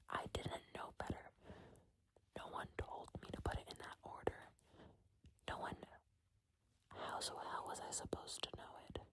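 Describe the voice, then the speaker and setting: A woman speaking in a breathy, unvoiced whisper, rambling informally and haltingly in an ASMR style. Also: poor audio.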